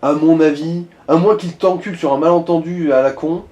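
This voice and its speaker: A man comments casually on gameplay in a mellow, slightly high voice. He is crude and slangy, advising the player as he goes. The sound is clearly roomy, with game music behind. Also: fairly quick. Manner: crude, slangy, swearing freely. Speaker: gaming co-commentator giving advice.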